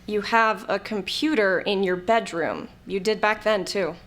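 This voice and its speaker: A woman's voice: mid-range voice, a little slow, fairly bright tone, natural voice. A woman acting an irritable, sardonic character with a youthful form in an audio drama, talking in an exasperated way. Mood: skeptical. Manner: exasperated, sarcastic.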